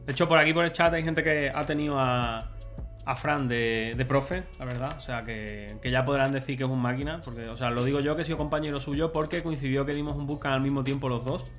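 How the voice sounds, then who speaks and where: A man in his thirties hosting a livestream interview, lively and friendly as he puts questions to the guest. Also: mid-range voice, fairly quick, faint music behind. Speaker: host.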